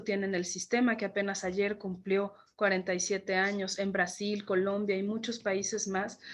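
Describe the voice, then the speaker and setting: Flat-toned woman giving an official address. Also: mid-range voice. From Mexico. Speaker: government official, panel chair. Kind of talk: formal prepared speech.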